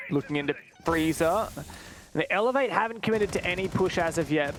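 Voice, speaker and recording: Male, esports play-by-play, slightly high voice, fluent and excitable delivery, faint background noise. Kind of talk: live esports match commentary.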